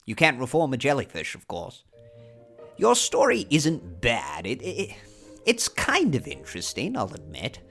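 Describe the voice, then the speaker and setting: An actor playing a blunt, plainspoken older male neighbor in a dramatized audiobook, talking in an unhurried, reflective way. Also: mid-range voice, huge pitch swings, a bit bright, slightly rough, faint music behind, heavily acted.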